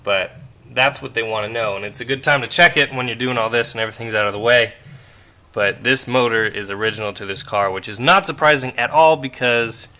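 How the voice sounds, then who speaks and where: Bright-voiced man explaining car repair. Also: medium pitch, fairly quick. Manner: relaxed, explains step by step, asks viewers questions. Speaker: car restoration vlogger. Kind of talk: casual how-to narration. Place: outdoor driveway by a car engine.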